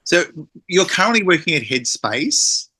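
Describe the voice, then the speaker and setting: A man's voice: sits high, big pitch swings, measured pace, sharp tone, breathy edge. A man hosting a podcast interview, upbeat and friendly as he asks questions. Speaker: podcast host.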